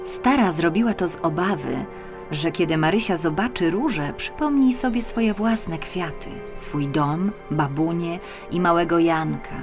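A woman narrating a children's fairy tale as a scripted audiobook, expressive and measured. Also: fairly low pitch, over music.

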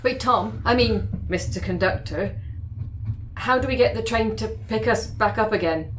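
A woman's voice: on the high side, normal speed, background noise. A woman playing a character in a tabletop game, chatty and teasing. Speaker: player. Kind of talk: tabletop actual play, in character.

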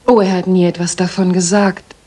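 An actress playing a proper young Victorian lady in a radio play, polite and measured, with a husky, breathy voice. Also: fairly low pitch, flat delivery, dark tone. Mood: reserved, confiding. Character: proper, modest young Victorian lady client, firm when pestered. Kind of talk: dramatic German radio play acting.

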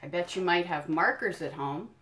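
A woman doing a children's storytime, reading a picture book in deliberate phrases and explaining things simply to young listeners. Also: fairly low pitch, a bit bright, slight background noise. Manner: addresses young listeners directly with questions and encouragement. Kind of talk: children's storytime read-aloud with friendly asides.

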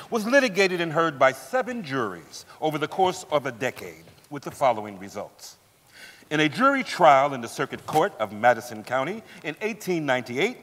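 A man narrates a staged reading in a formal style. His voice is fairly high and expressive, carrying with long reverb through a theater. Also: slight background noise. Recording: echoey theater hall.

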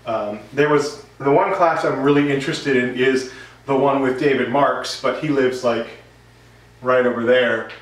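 A man in his thirties giving a casual, rambling update to camera as the host of a woodworking vlog. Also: mid-range voice. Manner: rambling, conversational. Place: home workshop, indoors. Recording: roomy, distant, noisy workshop recording.

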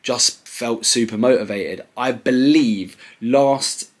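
A young British man in his twenties vlogging casually from his living room sofa, relaxed and rambling with many ums. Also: mid-range voice, slow pace. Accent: British English. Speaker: clothing brand owner vlogging. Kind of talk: casual to-camera vlog. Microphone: camera close at sofa level.

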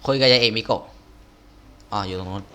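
A man's voice: on the low side, fairly quick, sharp tone, smooth. A man giving casual playthrough commentary as a gaming video creator, talking to himself in crude slang.

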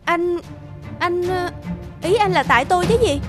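Young office woman, cartoon, high voice, plucky, flustered, girlish, music bed. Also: moderate pace. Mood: indignant, startled. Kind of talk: animated cartoon dialogue. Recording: music under the voice.

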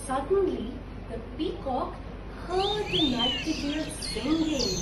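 Slow, sing-song young woman storyteller. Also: high-pitched, background noise. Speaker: school storyteller. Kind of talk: children's story narration. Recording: echoey room, distant mic.

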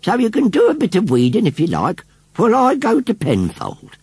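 A man's voice: sits high, huge pitch swings, normal speed, a little mellow, breathy edge, dry recording, pitched above the performer's own voice, exaggerated voice. A man voicing a suspicious, grumbling old village woman in a storybook, with rustic, grudging speech. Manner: rustic dialect grammar, grudging. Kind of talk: storybook character voice.

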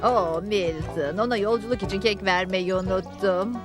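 An actress playing a sentimental, fretful pioneer wife in a dubbed cartoon, with emotive delivery. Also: female voice, medium pitch, normal speed, over music, close to an everyday voice. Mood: fond. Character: sentimental pioneer wife attached to her family furniture.

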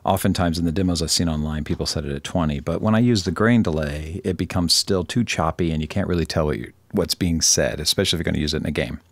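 A man presenting an informal software demonstration, rambling a little, correcting himself and joking dryly. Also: a bass voice, fast, hardly any pauses, dry recording. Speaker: tutorial presenter.

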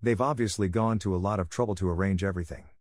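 A man with a lowish voice reads scripted test sentences at a brisk pace. The recording is dry. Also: darkish tone, no room sound. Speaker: test prompt reader. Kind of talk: scripted example sentences read aloud.

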